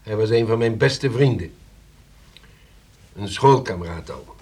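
A dry, stern police commissioner. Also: male voice, lowish voice, animated, a little slow, dark tone. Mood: probing, grave. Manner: probing, dryly ironic. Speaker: actor in a radio play. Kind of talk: Dutch radio drama acting.

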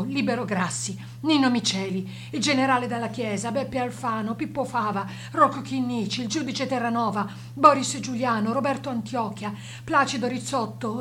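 An actress playing a grieving, defiant woman of a Sicilian victim's family, in solemn, measured, rhetorical radio drama monologue. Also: mid-range voice, a bit bright, slight background noise, lightly acted.

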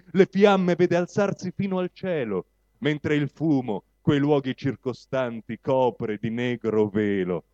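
A man speaking deliberately to present and recite poetry at a live reading. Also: mid-range voice, warm tone, no room sound. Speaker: poetry reader at a public reading event. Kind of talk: spoken introduction and declaimed recitation of poetry. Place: live reading at a public venue. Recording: dull, lo-fi live event recording.

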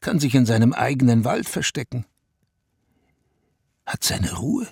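Haughty tired old-world monarch in a radio play, very husky, clipped lofty musing, dry close sound. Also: male voice, medium pitch, big pitch swings, unhurried, darkish tone, dry recording, full character voice. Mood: envious brooding. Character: haughty, world-weary male Prussian ruler, speaking as royal we. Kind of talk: literary German radio play monologue.

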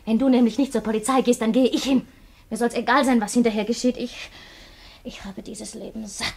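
A bitter wife in a radio drama. Her voice sits fairly high, and she speaks in theatrical reproaches and taunts, full of scorn. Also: fairly even intonation, fairly quick, gravelly, husky. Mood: defiant contempt. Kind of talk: German radio play acting.

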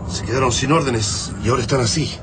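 An actor as a fast, urgent Argentine male fighter. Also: medium pitch, music in the background, natural voice. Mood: tense alarm. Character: decisive male resistance fighter, urgent, commanding. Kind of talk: radio drama acting. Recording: clearly noisy background ambience.

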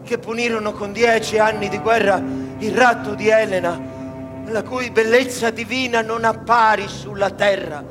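Brief: man as meek admiring scholar, notably high voice, stage drama manner, music underneath. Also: fairly even intonation, normal speed, natural voice. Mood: awed. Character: deferential male scholar, awed echoing companion. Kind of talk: stage verse drama, radio broadcast.

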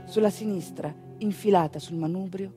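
A woman narrating a theatrical monologue in an expressive, deliberately phrased way. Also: mid-range voice, over music. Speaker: stage actress and narrator.

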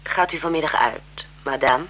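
Bright, husky, polite governess. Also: female voice, deep voice, moderate pace. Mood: hesitant, polite. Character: deferential, inquisitive young governess, chatty and polite. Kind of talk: 1970s Dutch radio drama acting.

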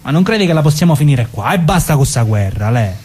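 Husky, menacing Southern Italian mobster, an actor's part. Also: male voice, fairly high pitch, very animated, fast talker, darkish tone. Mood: wheedling, pushy. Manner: insistent, colloquial, needling. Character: menacing, pushy mafia husband, wheedling and vengeful. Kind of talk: dramatic stage reading.

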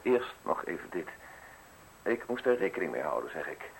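Fussy, gravelly prosecutor, animated. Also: male voice, very deep voice, moderate pace, heavily acted, low-quality recording. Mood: apologetic, explaining. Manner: wordy, formal, over-polite. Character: modest, fussy, chatty male public prosecutor, politely insinuating. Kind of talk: radio play acting. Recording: hissy old radio play recording.

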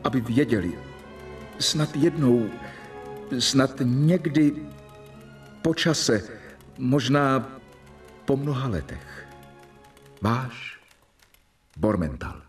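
An actor playing an anxious, earnest young surgeon confiding formally in a radio drama letter. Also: male voice, medium pitch, animated, long pauses, far from the mic, close to an everyday voice. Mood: shaken, halting. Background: faint music.

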